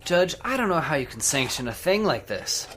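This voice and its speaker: A man's voice: fairly high pitch, very animated, moderate pace, fairly bright tone, a little hiss or hum. Anxious, doubting husband male actor, old-time radio horror; earnest, urgent pleading, nervous stammer. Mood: agitated. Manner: earnest, insistent, stammering when shaken. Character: Paul Seaton, anxious, sceptical husband.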